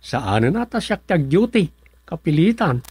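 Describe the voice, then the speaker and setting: A man's voice: fairly high pitch, big pitch swings, measured pace, a little mellow, husky, dry recording, exaggerated voice. An actor playing an exasperated rural village man on duty, with an Ilocano accent, in a comedic radio drama. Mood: bantering, insistent.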